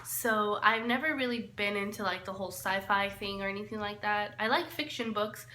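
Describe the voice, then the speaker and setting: A woman's voice: medium pitch, normal speed, sharp tone. A woman in her twenties, born in El Salvador, chatting casually to camera in a get-to-know-me vlog, often laughing and trailing off. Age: 20s. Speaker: lifestyle vlogger. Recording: echoey room, faint hiss.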